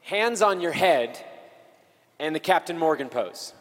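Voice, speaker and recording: A man's voice: on the high side, lively intonation, a little slow, a bit bright. A man hosting a live improv comedy show with playful, teasing announcements. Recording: echoey live hall, faint noise.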